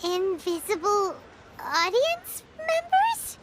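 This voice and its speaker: A woman voicing a small, excitable, childlike fairy companion with a squeaky voice in a video game. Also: takes it slowly, very noisy, heavily acted. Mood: skeptical, uneasy.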